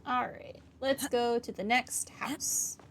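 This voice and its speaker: A woman's voice: a little high, fairly even intonation, slow, soft background music. A woman streamer giving casual playthrough commentary with dry, wry asides. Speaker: gaming streamer.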